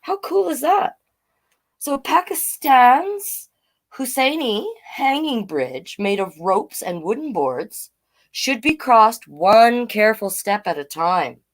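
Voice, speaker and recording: A woman's voice: a little high, big pitch swings, slow. A woman hosting a children's storytime livestream, chatting casually and reading out facts, often getting sidetracked and correcting herself.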